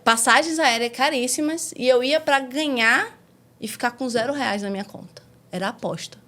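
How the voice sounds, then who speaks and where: Woman, forties, Bahian accent, frank and lively, casual storytelling, slangy, slightly higher voice. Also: moderate pace. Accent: Bahian Portuguese. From Salvador, Brazil. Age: 40s. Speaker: guest.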